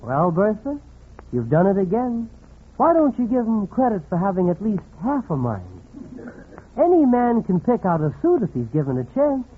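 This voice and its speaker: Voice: medium pitch, sing-song, moderate pace, husky. An actor playing a wry, scolding commentator in 1940s radio comedy, sardonic and admonishing. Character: wry scolding commentator chiding a domineering wife. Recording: muffled 1940s radio recording.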